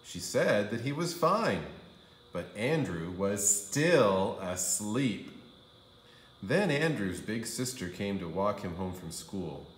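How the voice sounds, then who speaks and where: A man reading a children's story aloud as a kindergarten teacher, with expressive, drawn-out emphasis on key phrases. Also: fairly low pitch, echoey room, slight background noise, poor audio. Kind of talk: animated storybook narration for young children.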